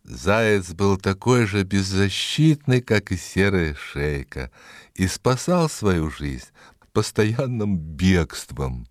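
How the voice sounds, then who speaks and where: A man with a very deep voice narrates a fairy tale. His delivery is slow and dramatic, with expressive rises and falls. The recording is dry and close. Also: no echo.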